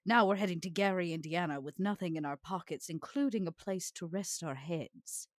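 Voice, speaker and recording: A woman's voice: lowish voice, moderate pace, no room sound, lightly acted. Poised female vampire, courtly, dryly sardonic, careful formal speech, dry close recording acted by a woman. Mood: wry, courtly.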